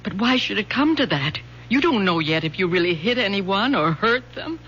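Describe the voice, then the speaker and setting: A woman's voice: medium pitch, expressive, moderate pace, fairly bright tone, natural voice. An actress in a 1970s radio drama playing a lonely, bitter, fragile middle-aged mother with an anguished, theatrical delivery. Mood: pleading, earnest. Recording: dull, hissy old radio broadcast.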